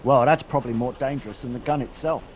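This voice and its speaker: A man's voice: mid-range voice, fast talker, close to an everyday voice. A teenage boy playing a wary, informal steam train crewman in a school radio play. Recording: faint train noise behind.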